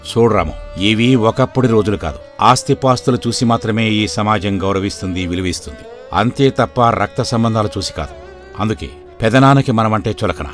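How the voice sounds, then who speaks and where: A man acts out an older village father, poor and resigned. He explains patiently in a fairly low voice. Faint music sits behind the speech. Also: a little fast, close to an everyday voice. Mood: doubtful, subdued. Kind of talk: dramatized moral story for video.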